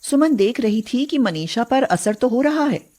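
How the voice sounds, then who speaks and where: A woman's voice: fairly high pitch, expressive, moderate pace, warm tone. A woman narrator reading a scripted moral story.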